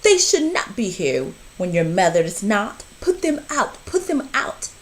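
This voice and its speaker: A woman's voice: medium pitch, big pitch swings, measured pace, rough recording. A woman voicing a fretful, scolding storybook fish in an urgent, exclaiming manner.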